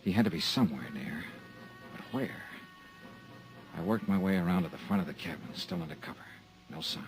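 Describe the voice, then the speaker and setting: A deep-voiced hard-boiled investigator. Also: male voice, animated, a little fast, music in the background, poor audio. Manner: terse, hard-boiled, dry understatement. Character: tough male insurance investigator narrating. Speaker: lead actor and narrator. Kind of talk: 1950s radio drama acting.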